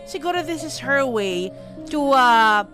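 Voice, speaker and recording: A woman's voice: high voice, animated, slow. A woman with a Filipino accent co-hosting a radio show, giving casual, emphatic relationship advice in mixed Tagalog and English and often seeking agreement. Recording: music bed behind the voice. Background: background music.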